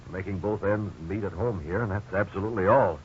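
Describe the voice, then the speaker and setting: An actor playing a troubled, conscientious middle-aged father, gentle and earnest, in a 1950s radio drama. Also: deep voice, fast, natural voice. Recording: dull, hissy 1950s radio recording.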